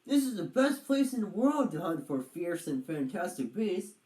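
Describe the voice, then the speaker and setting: Woman as a hearty, lively gentleman turtle explorer. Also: on the low side, normal speed, roomy sound, recorded from a distance, voice pushed up, poor audio. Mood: confident. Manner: theatrical, old-fashioned chummy pomp. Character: hearty, confident adventurous male turtle, chummy gentleman explorer.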